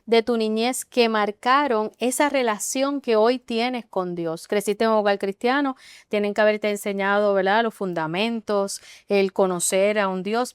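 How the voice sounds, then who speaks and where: Very clear-voiced woman pastor hosting. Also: medium pitch, no room sound, studio quality. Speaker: pastor and co-host. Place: TV studio.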